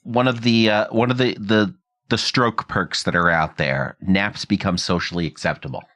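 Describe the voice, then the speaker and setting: A man with a fairly low voice speaks as a podcast host in conversation with a guest. He is reflective and explanatory, laying out his thoughts and questions in a conversational style. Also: fairly even intonation, normal speed, a bit bright. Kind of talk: conversational interview.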